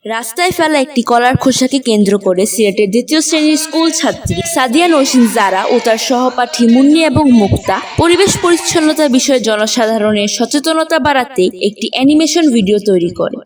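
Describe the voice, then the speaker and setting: A woman's voice: sits high, lively intonation, brisk, few pauses, recorded from a distance. A woman playing a formal female television news reporter, reading a scripted report in a declarative way for a cartoon.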